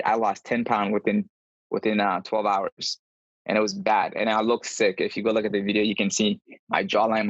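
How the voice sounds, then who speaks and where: A man with a fairly low voice answers interview questions in an earnest, casual way. The sound is a slightly dull video call with very little room in it. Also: dry recording. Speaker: podcast guest, endurance runner.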